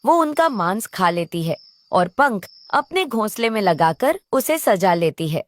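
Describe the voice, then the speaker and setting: An envious cartoon crow wife, voiced by a woman, at an ordinary female pitch. She complains and coaxes with an irritable edge, recorded very dry. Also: big pitch swings, a little slow, no echo. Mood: coveting. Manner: complaining, coaxing. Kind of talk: children's cartoon voice acting.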